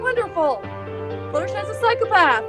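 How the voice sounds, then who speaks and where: A woman's voice: extremely high pitch, very animated, normal speed, bright, forceful tone, faint music behind. A woman giving casual guest reactions, often repeating short remarks.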